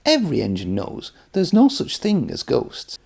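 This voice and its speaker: A man's voice: mid-range voice, huge pitch swings, normal speed, vocal fry, darkish tone, husky, pitched above the performer's own voice, full character voice. A man voicing a cheeky but easily scared small tank engine in a children's storybook, perky and peeping. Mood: cheeky, scoffing.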